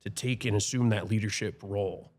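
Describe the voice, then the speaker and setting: A man's voice: fairly low pitch, fairly even intonation, brisk, darkish tone. A man in his thirties, a firefighter, speaking reflectively with frequent restarts in a casual podcast chat. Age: 30s.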